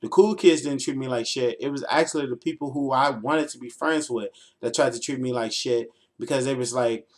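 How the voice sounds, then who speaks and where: A 24-year-old American man telling stories casually as a livestreamer, in a slangy, playful, animated way. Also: medium pitch, a little fast. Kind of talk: casual, informal storytelling.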